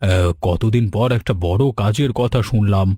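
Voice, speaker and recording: A man's voice: on the low side, moderate pace, mellow, dry recording, clean studio sound, lightly acted. A man voicing a gloomy, fretful middle-aged village sweet-shop owner in a cartoon folk tale. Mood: worried, downcast.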